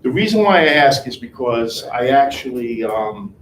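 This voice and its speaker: A man's voice: medium pitch, sing-song, roomy sound, a little hiss or hum, poor audio. Man reading out sales rankings. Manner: reads rankings out in a brisk list, then chats casually. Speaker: sales team leader. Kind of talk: meeting in front of a group.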